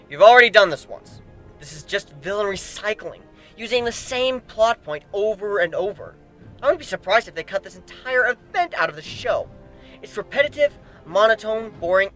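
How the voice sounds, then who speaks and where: A sarcastic, brash griffin fighter acted out by a man in a dramatic fan fiction reading. The voice is fairly high and swings widely in pitch, with emphatic, taunting delivery. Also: animated, normal speed, pushed and bright. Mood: mocking. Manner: mocking, flippant, emphatic. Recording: background music under the voice.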